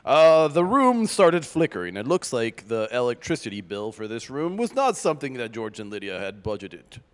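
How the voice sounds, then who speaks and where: With long echo around him, a man performs as a mock-solemn radio narrator. His delivery swings widely in pitch, stately storytelling that is easily derailed. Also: sing-song, moderate pace, clean tone, lightly acted. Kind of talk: scripted-sounding radio narration, improvised comedy.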